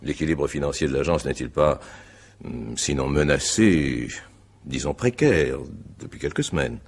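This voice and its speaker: Radio mystery: male magistrate, bottom-register husky voice, formal, methodical, politely relentless interrogator. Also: a bass voice, animated, full character voice. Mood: measured, insistent. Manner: formal, measured, hesitant questioning. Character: probing, courteous male examining magistrate, methodical and insistent. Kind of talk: French radio mystery drama acting.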